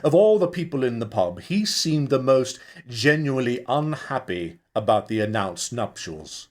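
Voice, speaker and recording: A man reading fiction aloud as a storyteller, with dramatic, deliberate and expressive audiobook delivery. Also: mid-range voice. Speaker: actor reading a novel chapter. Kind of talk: dramatic scripted audiobook narration.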